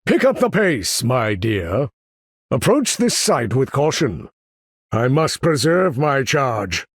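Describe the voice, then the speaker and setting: A man voicing a proud, imperious older mutant leader for a video game, speaking in a formal, theatrical, condescending way with a gravelly, husky voice. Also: medium pitch, very animated, slow, no room sound, studio quality, full character voice. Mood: urgent, ordering. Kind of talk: video game character voice lines.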